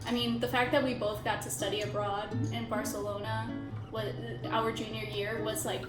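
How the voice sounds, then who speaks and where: A woman's voice: medium pitch, flat delivery, moderate pace, roomy sound, music in the background, rough recording. A woman in her twenties, an architecture student, speaking reflectively in a casual interview with many filler words. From India, raised in Chicago suburbs. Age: 20s.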